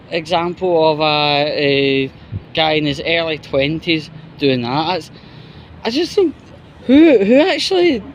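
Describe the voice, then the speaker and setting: A man's voice: fairly high pitch, slight background noise. A man giving an opinionated, exasperated, sweary commentary in a casual vlog style. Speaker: YouTuber.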